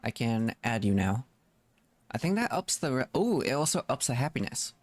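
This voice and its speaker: A man's voice: medium pitch, big pitch swings, moderate pace. A man doing casual gameplay commentary as a streamer, thinking aloud and muttering to himself in short fragments. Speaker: gaming streamer. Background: background music.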